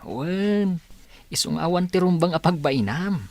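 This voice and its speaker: A man's voice: high-pitched, unhurried, a little mellow, no room sound. A man with an Ilocano accent acting a friendly, persuasive teenage schoolboy in a radio drama.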